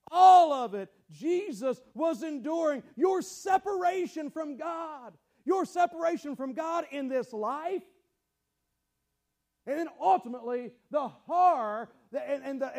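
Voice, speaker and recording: A man preaching a fiery sermon, often breaking into shouts and stumbling over repeated words as he presses his point. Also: very high-pitched. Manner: impassioned, bursts into shouting, repeats phrases.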